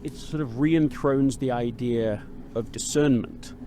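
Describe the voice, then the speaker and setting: A man hosting a podcast interview, talking in a halting way with many repeated starts as he reflects and builds ideas aloud. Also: mid-range voice, mellow, slight background noise. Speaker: podcast host. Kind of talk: conversational interview.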